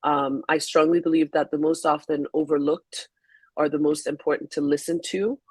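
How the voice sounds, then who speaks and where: A woman from Haiti whose first language is French, a magazine founder answering questions on an online webinar call in a soft, unhurried way with frequent ums. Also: deep voice, steady tone, breathy edge, no room sound. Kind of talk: webinar interview.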